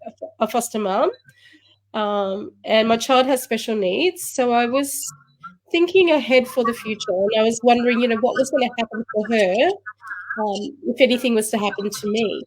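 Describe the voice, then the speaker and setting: A woman in her fifties with an Australian accent, a grandmother telling her story as an interview guest, steady with occasional fillers. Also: on the high side, normal speed, low-quality recording. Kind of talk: conversational storytelling.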